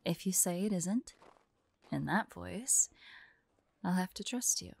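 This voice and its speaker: A woman playing a forlorn, vulnerable young huntress in an intimate audio roleplay, speaking softly and haltingly, often drifting into whispers. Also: on the low side, expressive, creaky, breathy edge, exaggerated voice. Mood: gentle, earnest. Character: forlorn young woman hunter, tearful, vulnerable, guarded, affectionate.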